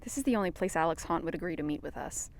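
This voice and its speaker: A woman's voice: fairly low pitch, expressive, racing. An actress playing an eager, earnest young female reporter in an audio drama.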